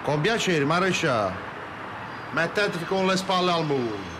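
An actor playing a bossy, crude police officer in a radio drama, giving commanding, officious orders. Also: male voice, fairly high pitch, very animated, moderate pace. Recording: loud outdoor street and vehicle noise.

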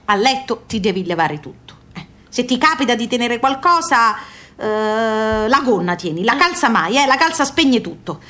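A woman's voice: fairly high pitch, very animated, normal speed, pushed and bright. An actress playing a proud, bossy emigrant mother in a radio play, with a southern Italian accent and emphatic, repetitive, folksy phrasing. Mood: bossy, advising. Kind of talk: stage-like radio theatre monologue and dialogue.

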